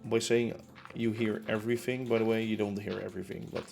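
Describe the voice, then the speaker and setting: Gravelly-voiced young man rambling. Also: on the low side, level tone, a little fast, a little mellow, faint music behind. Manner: rambling, many fillers and restarts. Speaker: streamer building in a game. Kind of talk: casual chat while building. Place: home room in a concrete apartment.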